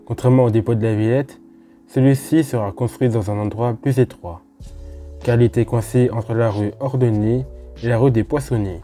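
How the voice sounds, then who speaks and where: A man from France talks viewers through a history topic in a relaxed, explanatory way. His voice is deep-toned and very mellow, at an ordinary pitch, and he breaks off now and then for chatty asides. Faint music plays underneath. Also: moderate pace. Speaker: host of a railway history channel. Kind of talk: casual explanatory narration.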